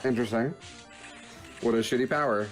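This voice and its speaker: A man's voice: medium pitch, a little fast. A man co-hosting a game show, chatting casually and jokingly as he comments on the play. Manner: informal, joking. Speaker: video game show co-host. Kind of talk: casual chat commenting on gameplay. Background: game music.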